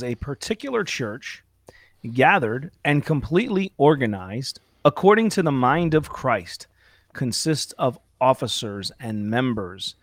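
A man co-hosting a church study show, slightly hoarse from preaching outdoors, reading passages aloud and stopping to clear his throat. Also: medium pitch, fairly bright tone, no room sound, studio quality. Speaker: co-host discussing a church confession. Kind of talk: Bible study discussion show.

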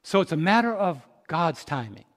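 High-voiced preacher in his fifties, echoing hall. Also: sing-song. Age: 50s. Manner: preaching with call-and-response questions to the congregation; swings from soft, slow reflection to loud, rising exhortation. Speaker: pastor. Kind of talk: sermon. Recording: long reverb in a large hall.

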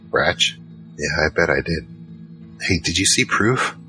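A man's voice: very deep voice, expressive, measured pace, pushed and bright, full character voice, rough recording. A man playing a battle-worn gunfighter who narrates his own story in an audio drama, terse and world-weary. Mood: urgent. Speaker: narrator and lead character. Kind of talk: first-person noir-like audio drama narration. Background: music.

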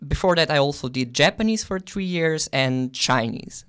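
Clear-voiced young Slovenian man. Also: a little high, expressive, normal speed, a bit bright. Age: young adult. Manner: thoughtful, with frequent ums and restarts. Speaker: guest, exchange student. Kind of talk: casual interview answers.

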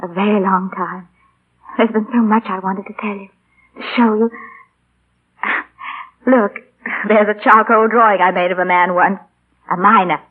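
An actress portrays a warm woman deeply devoted to the man she loves. She speaks with emotional, imploring feeling in 1940s radio style. The old recording is thin and boxy, like a telephone line. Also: fairly even intonation, normal speed, long pauses, husky, natural voice. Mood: tender. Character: warm, devoted woman artist in love, pleading. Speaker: radio actor. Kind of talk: 1940s radio drama acting. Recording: thin, band-limited 1940 radio broadcast.

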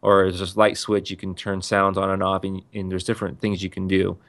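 A man's voice: low-pitched, flat delivery, a little fast, a bit bright. A man chatting casually, rambling and often restarting his sentences as he tells stories. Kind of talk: casual podcast chat.

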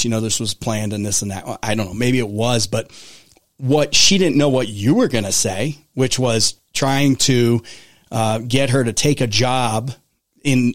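A man from Arizona, hosting a casual political talk show, his voice going hoarse and his talk rambling with many restarts. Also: mid-range voice, no echo, clean studio sound.

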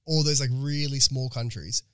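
A man's voice: medium pitch, steady tone, moderate pace, dry recording, clean studio sound. A young man in his twenties, chatting casually and swearing freely on a podcast. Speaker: podcast co-host. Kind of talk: casual chat.

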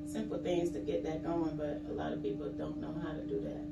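A woman's voice: low voice, very animated, a little fast, dull audio, music in the background. A woman talking casually as a guest on a relationship podcast. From Haitian background. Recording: echoey room, distant mic, loud hum.

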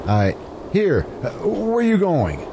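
A man playing an incredulous, blustering questioner in an old-time radio drama, stammering and forceful. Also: high voice, wildly swooping pitch, measured pace, full character voice. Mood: incredulous, alarmed. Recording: clearly noisy, background ambience.

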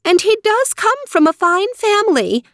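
A fussy fairy godmother type, acted by a woman storyteller, breezy and sing-song. The pitch swoops about freely, and the sound is dry and close, with an evasive, reassuring manner. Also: big pitch swings, moderate pace, a bit bright, dry recording, exaggerated voice. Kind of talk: storybook character voice.

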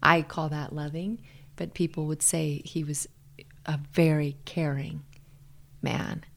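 A middle-aged American woman speaking earnestly and in a measured way as a guest in a reflective personal interview. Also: deep voice, little pitch movement, creaky. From Hawaii, USA.

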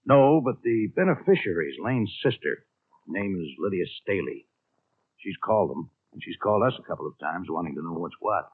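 A dry, tinny old radio recording of an efficient American claims man. His low voice moves expressively as he talks in a plain, businesslike, conversational way. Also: lively intonation, normal speed, no echo. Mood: businesslike, concerned. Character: efficient, businesslike male insurance claims manager. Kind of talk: 1950s American radio drama acting.

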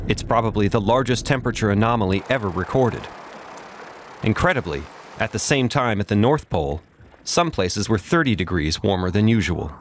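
A man's voice: fairly low pitch, slight background noise. A man reading a scripted news report voice-over in a documentary style.

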